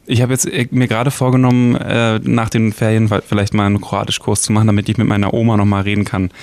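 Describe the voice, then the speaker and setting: A young man co-hosting a radio show in casual studio banter, hesitant and stammering, with quick giggly asides. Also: lowish voice, little pitch movement, gravelly, a little mellow, no echo. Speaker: radio co-host.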